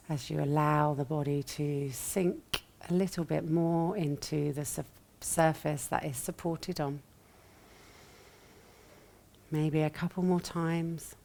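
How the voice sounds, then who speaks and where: A woman leading a guided meditation as a teacher, speaking gently and soothingly in short phrases with long pauses, her voice soft and at times breathy. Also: deep voice, steady tone, a little hiss or hum. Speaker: yoga nidra meditation teacher.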